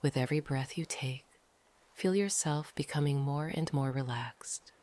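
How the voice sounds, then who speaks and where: A gentle, breathy, often whispering meditation guide speaking slowly and calmly, with long pauses between phrases. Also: mid-range voice. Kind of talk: slow, soothing guided meditation narration. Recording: noisy, ambient sound behind the voice.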